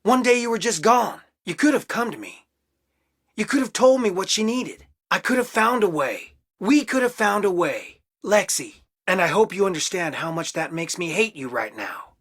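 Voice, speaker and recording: A man's voice: high voice, very animated, normal speed, a bit bright, no echo, studio quality, heavily acted. An actor playing a conflicted, wounded young man in a video game, speaking in an earnest, emotionally strained way. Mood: hurt, resentful. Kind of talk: dramatic video game dialogue.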